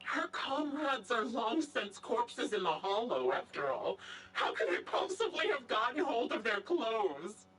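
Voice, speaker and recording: An actress voicing a sadistic, gloating female sniper villain in a video game, mocking and theatrical, with drawn-out false politeness. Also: on the high side, sing-song, fairly bright tone, distant mic, a little hiss or hum, poor audio. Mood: mocking, amused. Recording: music behind the voice.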